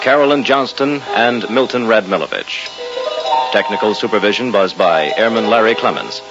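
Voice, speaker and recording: A man's voice: fairly low pitch, normal speed. An American man announcing credits in a formal mid-century radio style. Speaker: announcer, Air Force sergeant. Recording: muffled old radio recording. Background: loud music.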